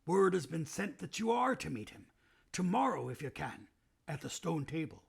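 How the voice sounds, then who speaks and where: A man putting on Mister Beaver, a gruff eager beaver. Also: on the high side, big pitch swings, normal speed, exaggerated voice. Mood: earnest, emphatic. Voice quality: rough, strained voice. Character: gruff eager male beaver, proud and kindly.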